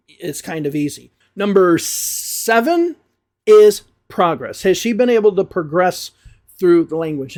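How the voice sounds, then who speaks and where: High-voiced American man reviewing casually. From Chicago area, USA. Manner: relaxed, talks things through with frequent hedges. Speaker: English teacher and channel reviewer.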